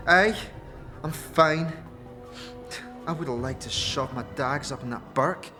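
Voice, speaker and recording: A woman's voice: low-pitched, slow pace, over music. A woman voicing a scornful, combative tiefling fighter in a fantasy game audio drama, mocking and full of street slang. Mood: grudging.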